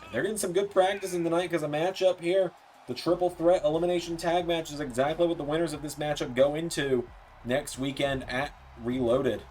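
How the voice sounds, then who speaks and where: A man doing play-by-play commentary for a wrestling video game, his voice a bit hoarse and softer than usual, with slips and self-corrections. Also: a little high, faint music behind, poor audio. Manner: rapid play-by-play, rising excitement on near-falls.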